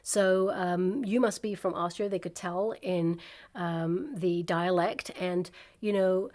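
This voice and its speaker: A woman's voice: fairly low pitch, steady tone, slow, darkish tone. A woman giving a casual tarot reading, chatty and reassuring, often checking in with the listener. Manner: chatty and reassuring, frequent "okay?" asides. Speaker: tarot reader.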